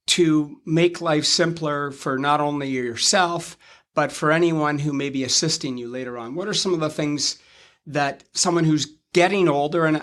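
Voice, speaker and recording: A man in his fifties, a financial advisor co-hosting a conversational talk, speaking in a measured, thoughtful way and pausing to rephrase. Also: on the high side, fairly bright tone. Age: 50s. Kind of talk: conversational interview.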